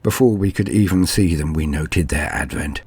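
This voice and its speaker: A man playing a frightened but dutiful knight in an audio drama, narrating gravely in archaic wording with dramatic pauses. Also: extremely low pitch, darkish tone, dry recording, heavily acted. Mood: horrified awe. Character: frightened but dutiful male knight recounting doomed battle.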